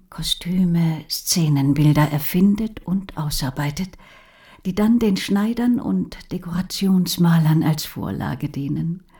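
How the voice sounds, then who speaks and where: An actress playing a composed woman who presents an artist's life in a hushed, breathy radio drama narration. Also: low-pitched.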